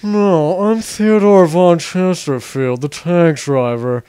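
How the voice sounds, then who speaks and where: A man voicing a calm, polite tank driver with a reassuring manner in a parody game dub. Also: a little high, takes it slowly, smooth, no room sound, studio quality. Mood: placid.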